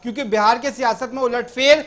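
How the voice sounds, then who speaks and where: A man's voice: extremely high pitch, steady tone, brisk, a bit bright. A man giving live analysis as a news correspondent, explaining things directly to the listener.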